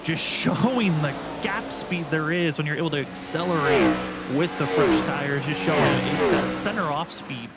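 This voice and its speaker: A man's voice: a little high, very animated, moderate pace, gravelly, over loud music. A man giving live play-by-play motorsport commentary as a race broadcaster. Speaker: play-by-play sim racing commentator. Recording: loud engine and vehicle noise.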